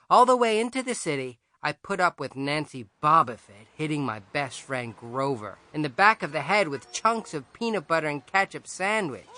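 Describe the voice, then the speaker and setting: Male narrator, boyish first-person storytelling, wry, expressive, animated intonation, dry studio sound. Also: a little high, no room sound. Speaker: audiobook narrator.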